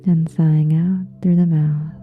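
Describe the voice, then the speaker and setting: Very slow, hushed woman guiding meditation. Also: low voice, level tone, phone-quality sound. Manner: soft, breathy, hushed, soothing delivery with long pauses. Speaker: yoga nidra meditation guide. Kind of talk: guided relaxation meditation. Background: soft meditation music with singing bowls.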